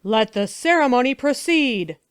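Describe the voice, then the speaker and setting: A wicked prince, played by a woman reading aloud, hissing and commanding harshly. The voice sits a little high, and the sound is close and dry. It is a scheming storybook villain. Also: lively intonation, unhurried, clean tone, dry recording.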